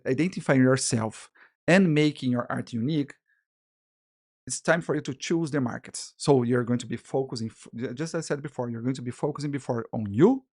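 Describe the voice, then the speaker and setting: A man with a Brazilian Portuguese accent, a native Portuguese speaker, teaching a live online masterclass. He talks in an animated way, restarts his sentences often and keeps checking in with "yeah?" and "guys". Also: mid-range voice. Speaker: illustration business teacher.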